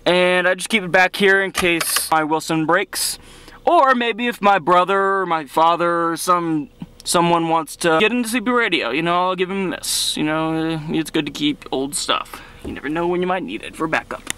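A man's voice: high voice, normal speed, sharp tone. A young man in his late teens giving a casual, unscripted hands-on demonstration, recorded on a handheld camera inside a car. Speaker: hobbyist vlogger demonstrating his CB radio. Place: inside a car, outdoors parking area. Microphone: handheld camera's built-in mic. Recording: noisy camera audio with vehicle ambience.

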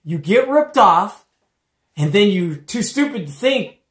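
Middle aged midwest guy telling a story, chatty n animated, slangy, echoey room. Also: high-pitched, unhurried. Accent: American Midwestern. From Detroit area, United States. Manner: animated, conversational, uses rhetorical questions and slangy asides. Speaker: host of a CB radio show-and-tell. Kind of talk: casual storytelling. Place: small cluttered indoor radio room. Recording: echoey room, mic at a distance.

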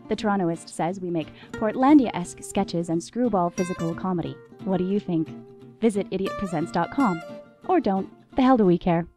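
A woman reading a scripted comic promo in a dry, deadpan manner. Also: lowish voice, moderate pace. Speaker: announcer of a promo. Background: guitar music.

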